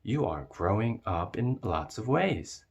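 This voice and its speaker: A man reading as a low, kind schoolteacher lady. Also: sing-song, slow pace, creaky, breathy edge, full character voice. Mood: reassuring. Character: kind, encouraging woman schoolteacher in children's story.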